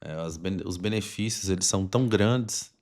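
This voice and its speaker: A man's voice: deep voice, normal speed, darkish tone. A man with a Brazilian accent hosting a conversational podcast interview, explaining things at length in a wordy way. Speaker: podcast host.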